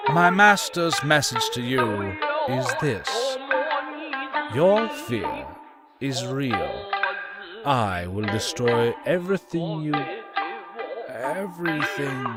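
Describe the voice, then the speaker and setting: A sinister, serene monk acted by a man speaks slowly and ceremoniously, his pitch swinging dramatically. It is motion comic villainy over loud music. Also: sing-song. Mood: quietly menacing. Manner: formal, measured, ceremonious. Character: serene, menacing male monk who serves a dark master.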